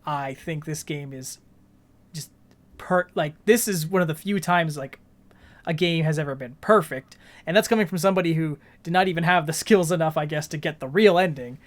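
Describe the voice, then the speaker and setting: Higher-voiced male gaming podcast co-host. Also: moderate pace. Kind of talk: casual gaming discussion.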